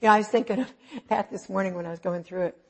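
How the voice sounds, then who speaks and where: Woman preacher, husky low voice, homey earnest sermon, goes loud then quiet. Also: fairly even intonation, very fast. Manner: folksy, earnest, with sudden loud and soft swings. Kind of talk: informal sermon.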